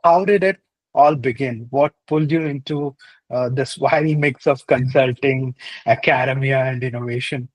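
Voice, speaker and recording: A man's voice: medium pitch, normal speed, low-quality recording. A man hosting an interview show, reading a scripted introduction and asking questions in an upbeat way, dropping in "uh" and "right?". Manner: upbeat, uses fillers like "uh" and "right?". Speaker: podcast host.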